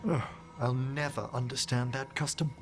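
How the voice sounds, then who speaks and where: A man's voice: mid-range voice, flat delivery, normal speed, close to an everyday voice. Game necromancer, male actor: scholarly, eager, formal and theatrical, over prominent music. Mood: excited. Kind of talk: dramatic game acting.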